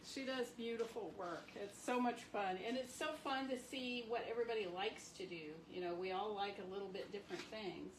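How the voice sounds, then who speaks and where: A middle-aged woman with a sniffly cold, chatting her way through a live craft demo and softly exclaiming as she works. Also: medium pitch, normal speed, few pauses. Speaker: marbling artist streaming live. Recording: echoey room with faint background noise.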